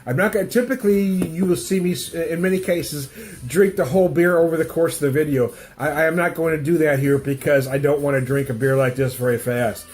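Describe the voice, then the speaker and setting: A middle-aged man with a cold-stuffed nose talks his way through a beer tasting at home, circling back on his words and chuckling as he goes. His voice sits a little high for a man, and faint music plays behind him. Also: steady tone, a little fast, darkish tone. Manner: rambling, self-interrupting, chuckles often. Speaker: beer reviewer. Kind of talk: casual beer tasting review. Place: home room with music playing.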